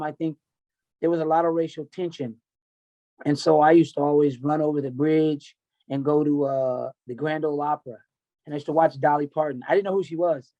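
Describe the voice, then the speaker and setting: A man in his fifties with an American accent and a fairly high voice, talking as a podcast guest. He tells personal stories bluntly and repeats himself for emphasis. The audio is somewhat dull and lo-fi. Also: mellow. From United States, grew up in Tennessee and California. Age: 50s. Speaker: podcast guest, MMA coach. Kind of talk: candid personal storytelling in an interview.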